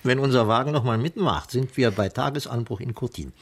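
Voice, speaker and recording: An actor playing a wry, brisk private detective with a dry, businesslike manner in a radio crime drama. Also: male voice, medium pitch, slightly rough. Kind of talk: 1980s German radio crime drama acting.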